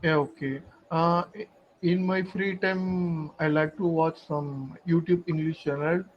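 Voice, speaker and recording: A man from southern India, practicing English in a casual conversation, speaking haltingly in simple phrases with many pauses. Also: fairly high pitch, fairly even intonation, dull audio, a little hiss or hum. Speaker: English learner.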